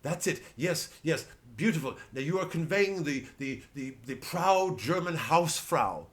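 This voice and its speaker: Satire role: man as rapturous male film director, raised pitch, pompous, grandly drawn-out praise. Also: animated.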